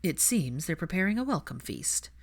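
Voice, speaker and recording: A woman narrator voicing a patient, wry young aristocratic father in a measured, dry way for a fan fiction reading. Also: lowish voice, very animated. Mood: reflective, measured.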